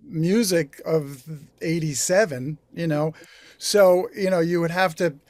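A man in his sixties with an American accent, talking reflectively in an interview, halting and correcting himself as he goes. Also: a little high, no room sound. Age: 60s. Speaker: songwriter guest. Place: small home recording studio. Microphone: video call.

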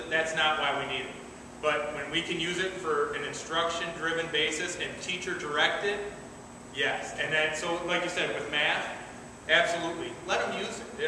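A man, a school administrator, earnestly answering a parent's questions, repeating words as he goes. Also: on the high side. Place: large school cafeteria. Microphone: far camera. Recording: echoey hall from far off, background noise.

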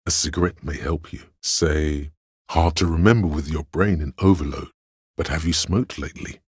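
A male inner voice of pure reason for a video game. It is exceptionally deep and rough with husk, recorded close and dry. The delivery is measured and analytical, with a skeptical, sardonic edge. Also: no room sound, full character voice. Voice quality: very deep, gravelly husky. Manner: measured, deliberate, dryly sardonic. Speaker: voice actor.

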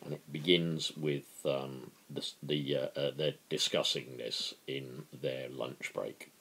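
A man's voice: very deep voice, steady tone, slow, a bit bright, slightly rough, rough recording. A man in his fifties informally introducing a dramatic book reading, talking to a camera in his living room. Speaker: amateur book reader. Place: small living room, indoors.

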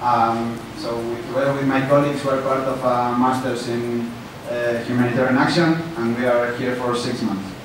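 A man's voice: medium pitch, steady tone, moderate pace, few pauses. A young man from Spain, a student, introducing himself plainly with a few fillers. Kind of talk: self-introduction. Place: large conference room. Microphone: handheld mic, far camera. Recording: echoey hall heard from far off, noisy.

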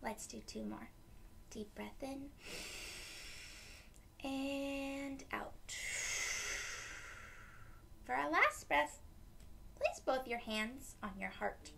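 A young woman reading a picture book aloud to children, gently explaining it in simple words. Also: high-pitched, lively intonation, drawn-out words, vocal fry, pauses often, noisy background. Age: young adult. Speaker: read-aloud storyteller for children. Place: small room at home, indoors.

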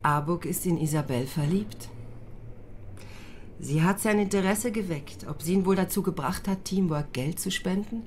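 Radio crime drama: actress as shrewd woman detective, low dark voice, pointed questions, faint background noise. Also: normal speed, lightly acted. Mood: shrewd, probing. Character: shrewd, probing female police investigator.

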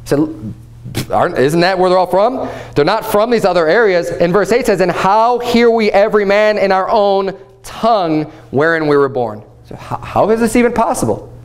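Animated, fairly high-voiced man preaching. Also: moderate pace. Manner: animated, gesturing, conversational preaching. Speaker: pastor. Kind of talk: sermon. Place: church platform before a painted backdrop.

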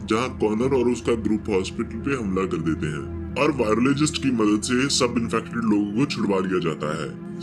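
A man narrating a film recap in a steady, scripted storytelling manner. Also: on the low side.

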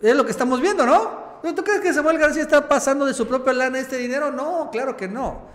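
The high-pitched Mexican male host of an online politics show, ribbing his guest in street slang from a studio that rings with reverb. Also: fairly quick, fairly bright tone. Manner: teasing, colloquial. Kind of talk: informal political talk show. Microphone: desktop microphone in front of him.

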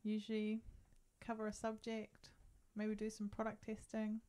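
Relaxed woman with a soft lower tone. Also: normal speed. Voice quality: lower, docile tone. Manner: relaxed, giggly, hesitant. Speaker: podcast co-host. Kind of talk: casual chat.

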